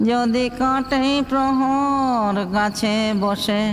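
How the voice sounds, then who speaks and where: A man's voice: very high voice, steady tone, very slow, fairly bright tone, a little hiss or hum, full character voice. A man playing a lovesick, henpecked male ghost who pleads and wheedles in a theatrical comic audio drama. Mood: pleading, lovesick. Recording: long hall reverb, music underneath.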